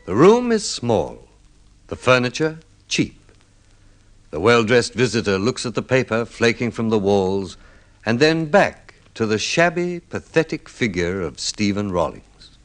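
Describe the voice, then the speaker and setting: A man narrating a scripted old-time radio drama in a measured, theatrical manner. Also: lowish voice, sing-song.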